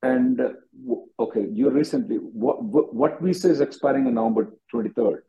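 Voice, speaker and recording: Mellow-voiced man giving directive advice. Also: medium pitch, brisk, vocal fry, lo-fi sound. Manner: directive, repeats himself. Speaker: immigration attorney hosting a call-in. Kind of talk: advisory call-in question and answer.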